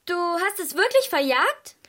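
Casting: bratty, jumpy sister, piercingly high and bright, for a kids' audio play. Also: female voice, big pitch swings, a little slow, heavily acted. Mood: timid. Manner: urgent, emphatic. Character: bratty, easily frightened girl, the older sister. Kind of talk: children's radio drama acting.

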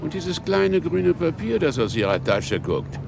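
A man's voice: big pitch swings, unhurried, exaggerated voice. An actor playing a suspicious, chatty policeman with sly humour in a radio play, drawing out sardonic questions. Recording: clear street noise behind. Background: outdoor traffic rumble.

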